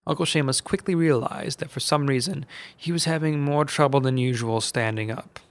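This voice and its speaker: A man's voice: steady tone, moderate pace, close to an everyday voice. A man voicing a grumpy, wisecracking old uncle who drawls his taunts in a raised voice. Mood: grumbling. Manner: taunting, drawling, nasal-high.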